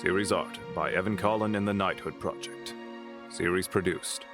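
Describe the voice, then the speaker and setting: A man's voice: low voice, normal speed. A man reading scripted credits as the announcer of an audio drama. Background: music behind the voice.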